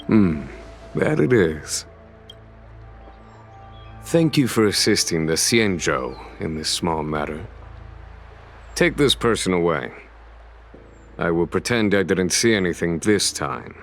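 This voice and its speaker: Deep-voiced diplomatic general, formal and composed, quietly authoritative, anime game drama. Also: male voice, animated, slow, pauses often, soft background music, exaggerated voice. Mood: calm, authoritative. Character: calm, authoritative male general, weary and diplomatic.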